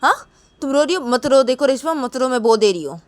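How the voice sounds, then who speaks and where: A woman's voice: high voice, expressive, brisk, dry recording, voice pushed up. A woman voicing a stern, guilt-ridden young woman with a short temper, repeating terse warnings in an improvised dramatic story. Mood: angry, insistent. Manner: terse, insistent, repetitive warnings.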